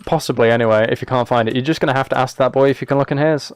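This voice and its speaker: A man's voice: medium pitch, steady tone, racing, clean tone, no echo. A young British man chatting casually on a gaming livestream, with a wry, playfully exasperated way of talking. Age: late teens to early 20s. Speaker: live streamer.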